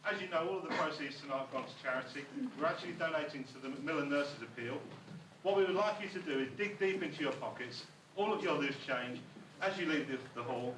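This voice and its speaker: A man's voice: on the high side, fast talker, far from the mic. A man giving an informal closing speech to an audience as master of ceremonies at an amateur show. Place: school hall stage. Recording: noisy amateur tape, echoey hall from afar. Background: loud noise and room ambience.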